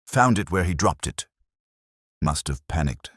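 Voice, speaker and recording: Very deep male mystery narrator. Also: lively intonation, measured pace, fairly bright tone, slightly rough, dry recording, clean studio sound. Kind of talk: scripted narration of a detective mystery story.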